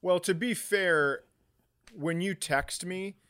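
A man's voice: high-pitched, slow, fairly bright tone, clean tone, no room sound, clean studio sound. A man co-hosting a comedy podcast, telling stories casually with crude, exasperated rants. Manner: crude, profane, exasperated ranting.

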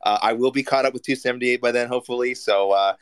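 A man's voice: medium pitch, fairly even intonation, fast, smooth. A man co-hosting a call-in show, playful and prone to stumbling restarts. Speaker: co-host. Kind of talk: casual chat.

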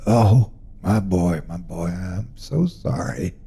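A drunken, violent father, as a man plays him growls his lines slowly in a low, dark voice. His speech is sullen and heavy, with a husky grain. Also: lively intonation, exaggerated voice. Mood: remorseful. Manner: slow, sullen, menacing.

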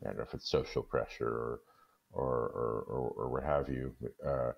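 A man chatting casually as a guest, halting and repeating words as he thinks aloud. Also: very deep voice, steady tone, vocal fry, slightly rough, dull audio. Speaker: guest story reader in discussion. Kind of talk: casual conversation.

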